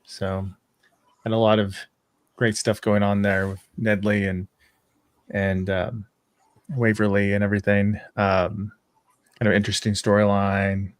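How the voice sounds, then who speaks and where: A man's voice: fairly low pitch, monotone, measured pace, pauses often, dry recording. A man speaking casually and off the cuff as a fan panelist. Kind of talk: casual chat.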